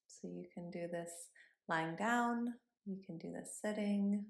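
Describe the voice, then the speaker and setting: A woman yoga instructor leading a body scan meditation in a gentle, instructive manner with pauses between cues. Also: mid-range voice, gravelly. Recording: roomy, echoing sound.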